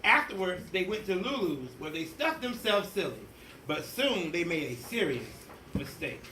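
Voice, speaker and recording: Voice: medium pitch, big pitch swings, moderate pace, pushed and bright. A storybook reader doing a theatrical, emphatic read-aloud of a children's picture book. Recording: echoey room, distant mic, faint noise.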